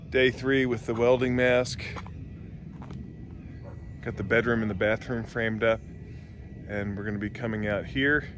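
A man's voice: mid-range voice, a little slow, long pauses, a little hiss or hum. A man in his thirties chatting casually in a vlog, speaking into a phone held at arm's length outdoors. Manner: relaxed, offhand check-ins. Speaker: vlogger on a building project. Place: outdoors on a hillside site and road.

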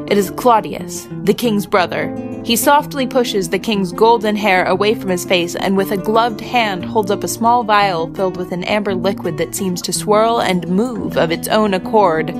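Woman narrating suspenseful story over guitar music. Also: medium pitch. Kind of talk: scripted dramatic storytelling narration. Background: plucked guitar music.

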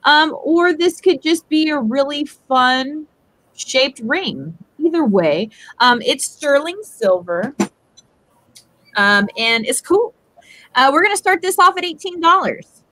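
A woman's voice: high voice, animated, takes it slowly, sharp tone. A young woman on a video call from home, presenting a ring in a rambling, chatty way full of "like" and "um". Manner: chatty, rambling, heavy use of "like" and "um". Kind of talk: casual live-stream chat. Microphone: webcam video call.

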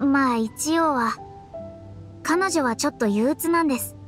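A woman voicing a proud, composed young woman astrologer in a game, speaking politely and formally with quiet self-assurance. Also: sits high, a little slow, faint music behind. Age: young adult. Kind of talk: game voice acting.

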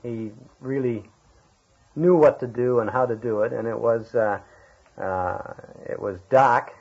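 A man with a medium-pitched, slightly husky voice acts as interviewer. He talks casually and haltingly, feeling for his words, on a dull archival radio recording. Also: a little mellow.

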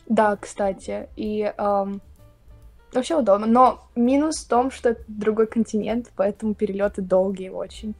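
A young woman from Russia chatting casually on a video call, talking with lively hand gestures. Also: mid-range voice, moderate pace, dry recording, soft background music. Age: young adult. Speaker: guest. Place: indoor room, plain wall.

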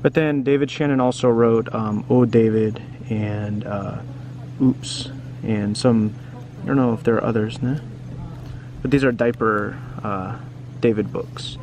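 A man with a low-key crackle in his voice reads a picture book to young viewers in a casual, chatty way. He keeps turning to the listener with questions. Outdoor noise and passing traffic sit clearly behind him. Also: fairly even intonation, unhurried, darkish tone. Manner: informal, asks the listener frequent questions and tag questions. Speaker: children's book reader and teacher.

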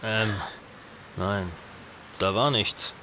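An actor playing a gruff, mocking Norse warrior in an audio drama, blunt and given to scoffing. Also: male voice, lowish voice, extremely slow, sharp tone, a little hiss or hum, full character voice. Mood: doubtful.

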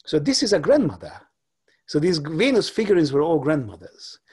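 Husky hungarian-born guy lecturing on a video call, lots of ums and restarts, laptop mic at home. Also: on the high side, sing-song, darkish tone. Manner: halting, many fillers and restarts. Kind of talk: online lecture with slides. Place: home room. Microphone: laptop webcam on video call.

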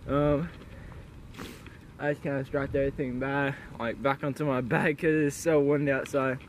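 A man's voice: medium pitch, flat delivery, normal speed. A young man giving a casual, slangy, sweary camping vlog into a handheld camera. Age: young adult. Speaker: vlogger. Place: outdoors on a windy mountain, partly inside a tent. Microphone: handheld camera at arm's length. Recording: noisy wind-blown outdoor camera audio.

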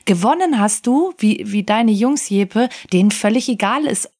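A woman's voice: mid-range voice, lively intonation, moderate pace, darkish tone. A woman co-hosting a podcast in casual conversation. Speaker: podcast co-host.

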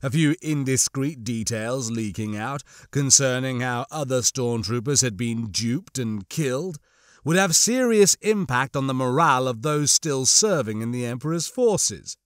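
A man narrating an audiobook of fiction in a deliberate, dramatic storytelling manner. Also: medium pitch, expressive, no room sound, clean studio sound.

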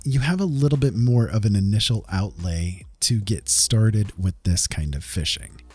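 A man's voice: on the low side, a little mellow, slightly rough. A man hosting a gaming video, narrating a script in a casual, conversational way with emphatic touches. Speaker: video game content creator, host. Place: voice-over over game footage. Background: faint background music.

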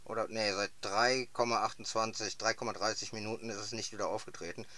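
A man's voice: on the low side, fairly even intonation, quick pace, pushed and bright, slight background noise. A man chatting casually as a radio amateur over a radio link. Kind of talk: casual two-way radio chat.

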